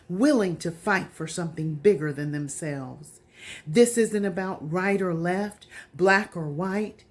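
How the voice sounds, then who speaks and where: A woman giving an earnest, deliberate reading of an open letter, appealing directly and with feeling to the listener. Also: medium pitch, darkish tone.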